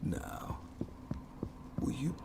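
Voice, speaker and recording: A man's voice: very slow, heavy background noise. A man voicing a sadistic, playful monster in a horror ASMR roleplay, whispering with taunting mock courtesy. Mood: taunting, savoring. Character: sadistic, playful, menacing monster lurking in its lair.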